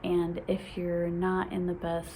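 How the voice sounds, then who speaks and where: A woman's voice: lowish voice, slow, a little hiss or hum. Young woman who stutters, chatting. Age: 20s. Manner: stutters, with repeated sounds and restarts; excited, laughs often. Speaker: vlogger. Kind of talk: casual vlog chat.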